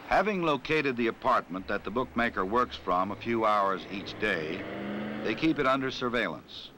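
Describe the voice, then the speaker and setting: An American man reads scripted narration for a 1960s police training film in a formal, authoritative, measured manner. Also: on the low side, animated, sharp tone, slight background noise.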